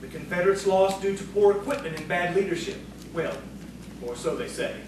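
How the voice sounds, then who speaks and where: A man playing a calm, scholarly storyteller in audio theatre, speaking in a measured, knowing, cautioning manner. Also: high voice, close to an everyday voice. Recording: very echoey, distant, noisy room.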